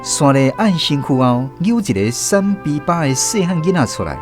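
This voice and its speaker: A man narrating a radio drama as host, telling the story with expressive delivery. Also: a little high, moderate pace. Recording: music playing under the voice.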